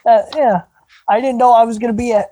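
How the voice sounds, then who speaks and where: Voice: medium pitch, fairly even intonation, fast, crowd noise. A podcast host in their twenties, talking loosely in casual chat, swearing and laughing often. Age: 20s.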